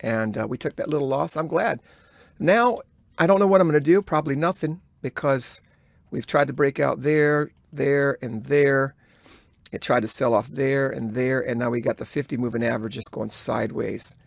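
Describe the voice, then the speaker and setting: A man records a trading journal voice-over in a dry, close space. He has a mid-pitched voice and thinks aloud as he follows the action. His delivery is halting and instructive, as if coaching himself and the viewer at once. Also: vocal fry, no echo. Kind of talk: live trade commentary, thinking aloud.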